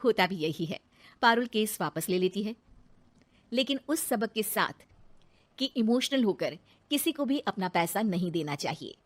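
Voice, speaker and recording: A woman's voice: medium pitch, animated, measured pace. A woman narrator reading a scripted moral story in a dramatic storytelling style.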